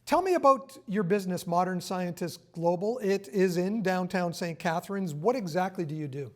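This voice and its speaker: A man's voice: high-pitched, moderate pace. A middle-aged man hosting a broadcast interview in a TV studio, partly scripted. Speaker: TV interview host.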